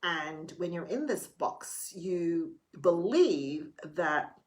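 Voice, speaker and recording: A woman's voice: fairly low pitch, expressive, drawn-out words. A middle-aged woman talking candidly to camera in a casual late-night vlog, chatty and a little theatrical. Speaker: vlogger.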